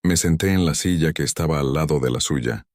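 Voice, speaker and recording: Very deep, measured male storyteller. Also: darkish tone, dry recording, clean studio sound. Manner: measured, stern and dramatic. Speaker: narrator. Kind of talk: first-person story narration.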